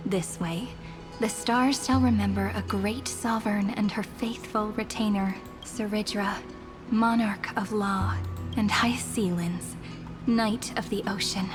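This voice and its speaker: A woman's voice: mid-range voice, fairly even intonation, measured pace, natural voice. A woman playing a gracious, polite chronicler in an anime game. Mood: gracious, earnest. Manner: poised, polite. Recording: loud background music.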